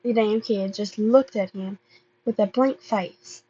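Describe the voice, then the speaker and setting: Halting, slow-paced woman reading aloud. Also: mid-range voice, warm tone, muffled recording. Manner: halting reading, often stumbling and repeating words. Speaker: amateur podfic reader. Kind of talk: reading fan fiction aloud, with casual asides.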